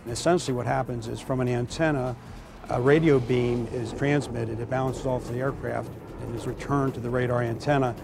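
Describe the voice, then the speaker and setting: A man's voice: medium pitch, fairly even intonation, fairly quick, creaky, background noise. A man giving explanatory interview answers as an expert, in technical and formal wording. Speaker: air traffic expert being interviewed.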